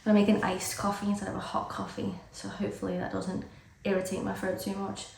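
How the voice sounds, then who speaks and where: A woman's voice: medium pitch, monotone, fast, creaky, recorded from a distance. A British woman chatting casually to camera for a vlog, rambling with lots of fillers while she has a cold and sore throat. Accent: British English. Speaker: vlogger. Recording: echoey room, off-mic, loud background noise.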